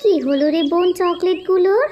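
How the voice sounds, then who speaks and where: A performer voicing an eager, sweet, excitable little village girl in a cartoon. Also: very high-pitched, normal speed, dull audio, soft background music. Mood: worried, determined. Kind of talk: cartoon character voice.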